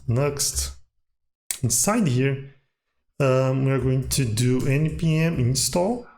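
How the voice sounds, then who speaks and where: Slow, chatty man explaining code. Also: mid-range voice, a little mellow. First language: Portuguese. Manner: unhurried, thinking aloud with many fillers. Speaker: coding tutorial host. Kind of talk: casual screen-recorded coding tutorial.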